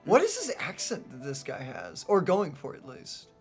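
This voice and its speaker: Playful, animated woman commentating on games. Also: deep voice, normal speed, faint music behind. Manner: playful, informal. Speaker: let's play commentator. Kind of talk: casual, reactive game commentary.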